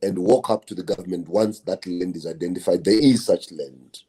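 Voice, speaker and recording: A man speaking as a guest on a video call, halting and repeating words as he explains. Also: low voice, dark tone, breathy edge. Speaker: guest panelist.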